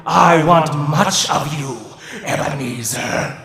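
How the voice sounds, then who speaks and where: Slow, echoing, tormented ghost. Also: male voice, a little high, creaky, a bit bright, heavily acted. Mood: solemn. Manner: slow, solemn, declamatory, drawn-out delivery. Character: mournful, tormented male ghost of a dead businessman. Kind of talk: theatrical radio play acting. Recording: echoey hall reverb with music behind.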